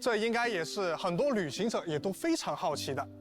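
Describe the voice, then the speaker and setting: A man's voice: high-pitched, lively intonation, fairly quick. A man hosting a game broadcast, presenting formally on behalf of the publishing team. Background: soft guitar music.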